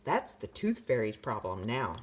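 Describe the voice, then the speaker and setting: A woman's voice: rumbling low, very animated, normal speed, pitched below the performer's own voice, exaggerated voice. A woman voicing a defiant, determined young boy in a storybook reading. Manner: defiant, grinning.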